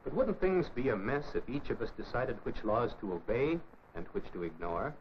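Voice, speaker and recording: An actor as a middle-aged American mentor in a 1950s educational film. He is firm and fair, lecturing with varied, lively intonation. The old soundtrack is dull, with some steady noise. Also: medium pitch, lightly acted. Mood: stern, lecturing. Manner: measured, lecturing.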